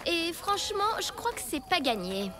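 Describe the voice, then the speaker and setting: A woman playing a skeptical, animated prehistoric child in a French-dubbed children's cartoon. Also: very high-pitched, moderate pace. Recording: loud music behind the dialogue.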